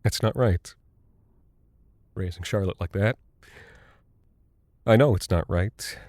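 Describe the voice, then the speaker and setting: A man acting a guilt-ridden, delusional father and space pilot in a horror audio drama, halting and stammering as he rambles. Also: low-pitched, darkish tone, no echo. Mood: bleak, haunted.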